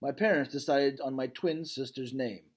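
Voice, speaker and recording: A man voicing a gentle, weary, reluctant male silverback gorilla who tells his story reflectively in a storybook reading. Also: medium pitch, normal speed, natural voice.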